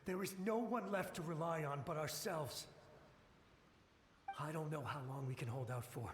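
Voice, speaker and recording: A man's voice: fairly high pitch, moderate pace, slight background noise, natural voice. Man playing a frantic ghost soldier, echoing. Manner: urgent, pleading, panicked. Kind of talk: video game cutscene dialogue.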